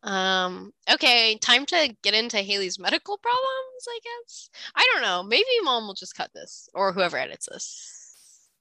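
Bright, slightly nasal young woman. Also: on the high side, big pitch swings, a little slow, dry recording. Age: young adult. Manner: chatty, self-deprecating, joking. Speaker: podcast co-host. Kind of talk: casual banter between siblings.

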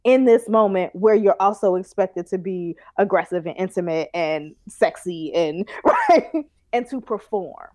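A woman's voice: lowish voice, lively intonation, a little slow, darkish tone, no echo, studio quality. A woman in casual chat, thinking aloud carefully, with frequent ums and likes as she weighs her words. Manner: thoughtful, hedging with ums and likes.

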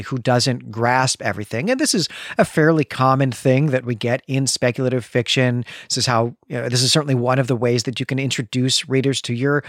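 A man co-hosting a podcast, explaining and recapping fiction conversationally in measured phrases on a close microphone. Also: medium pitch, fairly bright tone, no echo. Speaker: podcast co-host. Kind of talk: literary discussion and recap, conversational.

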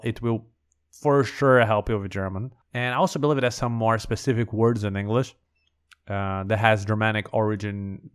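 A man's voice: lowish voice, fairly bright tone, clean tone. A man from Brazil with a Brazilian Portuguese accent, hosting a language-learning podcast in a conversational, think-aloud way. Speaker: podcast host.

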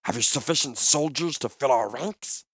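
A man's voice: medium pitch, normal speed, fairly bright tone, slightly rough, dry recording, clean studio sound, voice pushed up. A man voicing a sly, mocking little goblin with oily, fawning, questioning speech in a fantasy audiobook. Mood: oily, probing. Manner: obsequious flowery speech, all in questions.

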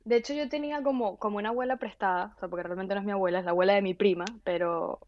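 A woman from Venezuela, telling stories in an animated casual conversation with many restarts. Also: mid-range voice, rapid-fire, highs cut off.

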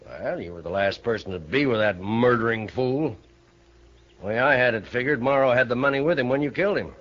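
An actor playing a shrewd, grudge-holding old ranch owner in a radio Western, with a folksy, needling American Western drawl. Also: male voice, medium pitch, a little fast, lightly acted. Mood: sly, needling. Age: older. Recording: muffled vintage radio broadcast.

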